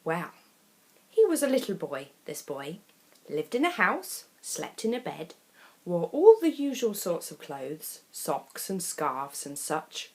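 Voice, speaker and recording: Slow, very animated woman reading to children. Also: fairly low pitch. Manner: expressive, deliberate dramatic pauses. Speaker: storyteller reading children's picture books.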